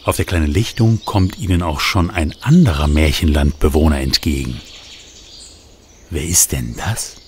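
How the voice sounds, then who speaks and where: A man narrating as a talking storybook in a children's radio play, telling it with lively, flustered storytelling. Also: very deep voice, moderate pace, a little hiss or hum. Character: talking storybook, male, chatty, fretful narrator.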